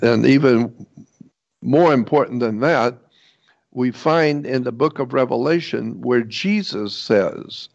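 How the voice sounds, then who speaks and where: A man giving an informal explanatory lecture in a halting, rambling way, repeating points for emphasis. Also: medium pitch, gravelly. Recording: dull, lo-fi muffled audio.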